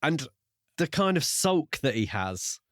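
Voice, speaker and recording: A man's voice: a little high, big pitch swings, takes it slowly, fairly bright tone, no room sound, studio quality. A man co-hosting a podcast, talking casually about a book in a questioning, wryly critical way. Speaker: podcast co-host.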